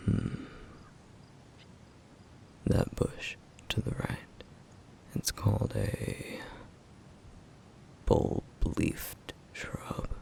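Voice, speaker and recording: Man as stern caring flame demon, ASMR roleplay, breathy whisper, slow formal delivery, protective. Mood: calm, instructive. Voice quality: hushed, breathy, gravelly whisper. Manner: measured, formal, slightly old-fashioned phrasing.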